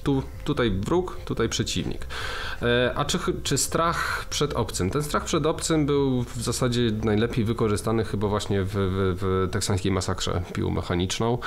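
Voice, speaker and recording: A man co-hosting a film podcast, talking through his ideas with many restarts and fillers. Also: sits low. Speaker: podcast co-host. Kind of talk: casual film discussion.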